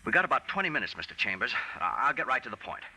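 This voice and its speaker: An actor playing an evasive, ingratiating small-time schemer with hesitant delivery in 1940s radio style. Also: male voice, medium pitch, big pitch swings, racing, sharp tone, slightly rough, heavily acted. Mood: persuasive. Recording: noisy vintage 1940s radio recording.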